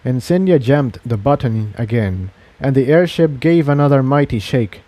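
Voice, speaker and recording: A male narrator reads adventure fiction in a dramatic audiobook style. His voice is mellow and mid-pitched, with animated intonation. The sound is dry and close. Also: normal speed, no room sound.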